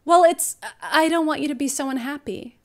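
A woman's voice: high-pitched, normal speed. A woman reading parts and narration in a casual table read, on a group video call with other people chattering. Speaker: podcast co-host and script reader.

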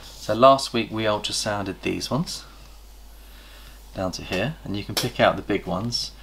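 A man's voice: lowish voice, creaky, a bit bright, a little hiss or hum. A middle-aged man, hosting a chatty snake-breeding vlog from an indoor reptile room. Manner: chatty, enthusiastic, pauses to recall details. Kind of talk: casual show-and-tell vlog. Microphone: camera across a table.

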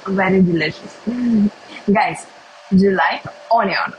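Young woman, Russian accent, casual livestream host; unhurried, simple English, mid-pitched voice. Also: dull audio, slight background noise. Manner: slow, simple English with pauses and hums. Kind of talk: casual livestream chat while eating. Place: indoors at a table by a window.

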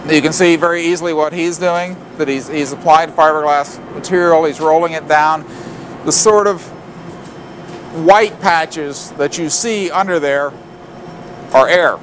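A man's voice: fairly high pitch, measured pace. Man guiding a noisy factory tour. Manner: plain explanatory, with frequent fillers and restarts. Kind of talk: walking tour narration. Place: loud factory floor. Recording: factory noise behind voice.

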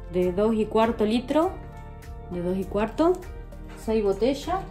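A woman's voice: mid-range voice, warm tone. Chatty woman making soap at home. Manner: chatty, addresses viewers directly, working while talking. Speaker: vlogger. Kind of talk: casual how-to demonstration. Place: home kitchen, indoors. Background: background music.

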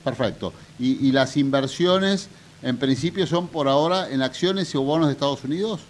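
A man's voice: medium pitch, moderate pace. An older man with an Argentine accent hosting a radio interview, asking colloquial questions and often restarting them. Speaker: radio host. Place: radio studio. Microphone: studio boom mic with headphones.

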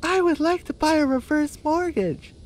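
A man's voice: very high voice, normal speed, pitched above the performer's own voice. A man voicing an addled, polite female cartoon chicken, dazed and oddly formal, in a comic radio drama.

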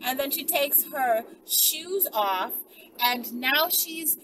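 Young female vlogger, higher-pitched, chatty storyteller; recorded in a car with clear background noise. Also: slow pace. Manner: animated, conversational, frequent checking tags like "right?". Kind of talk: casual chatty storytelling to viewers. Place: inside a car in daylight. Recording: distant mic, noisy car interior.

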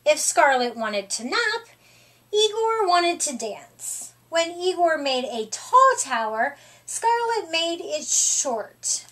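A middle-aged woman reading a children's picture book aloud in an expressive, deliberate storytelling manner. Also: extremely high pitch. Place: small room, indoors.

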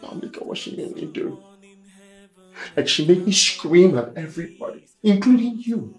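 A man's voice: very high voice, sing-song, normal speed, fairly bright tone, exaggerated voice. An actor playing a young man, upset and defensive about a scandal claiming he was adopted. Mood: shaken. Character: agitated young man accused of being adopted. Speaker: adult son.

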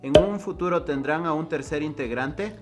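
A man with an Ecuadorian accent reading out viewers' names and questions in a brisk rapid-fire Q&A. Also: fairly high pitch. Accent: Ecuadorian Spanish. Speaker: question reader. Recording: loud background music.

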